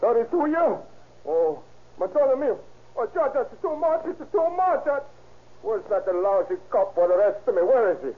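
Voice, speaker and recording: A man's voice: very high-pitched, very animated, moderate pace. A man playing a hot-tempered Italian immigrant gangster with broken English and an Italian accent in 1930s radio crime drama. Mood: anguished protest. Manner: broken English, pleading and threatening outbursts. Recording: tinny, band-limited old radio recording.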